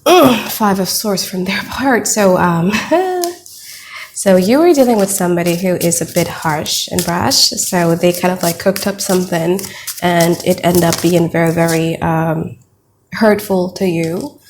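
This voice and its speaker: A woman with an Indonesian accent talks through a tarot reading in a loose, chatty way, full of "like" and "you know". Her voice sits somewhat low for a woman. There is a faint noise floor under the recording. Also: a little slow. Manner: chatty, filler-heavy, addresses viewers as "you guys". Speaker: tarot reader. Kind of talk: casual tarot reading monologue.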